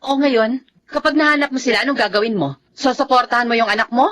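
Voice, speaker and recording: High-pitched, reproachful Filipino wife played by an actress. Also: female voice, quick pace, a bit bright, a little hiss or hum, natural voice. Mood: indignant, suspicious. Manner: nagging, reproachful. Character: fed-up, struggling wife and mother, reproachful. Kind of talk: Filipino television drama acting.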